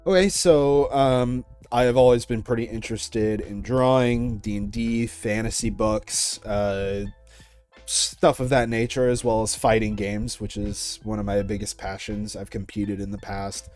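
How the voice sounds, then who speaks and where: Low-pitched young man, casual chat. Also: steady tone, measured pace, darkish tone. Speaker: interviewee, audio series creator. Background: faint music.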